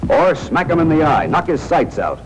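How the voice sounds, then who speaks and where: A man's voice: on the high side, big pitch swings, normal speed. An American man narrating a 1940s military training film in a tough, wry, slangy manner, speaking directly to soldiers. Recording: noisy 1940s film soundtrack.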